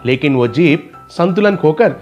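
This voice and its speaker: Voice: medium pitch, animated, a little slow, a little mellow, soft background music. A narrator reading a scripted children's story.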